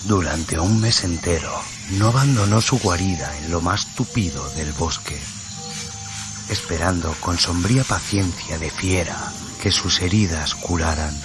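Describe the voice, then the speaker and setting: A man narrating a story in a dramatic radio-play style, solemn and suspenseful, with phrase endings that drop to a hush. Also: sits low, a little slow. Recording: music bed under the voice. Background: music.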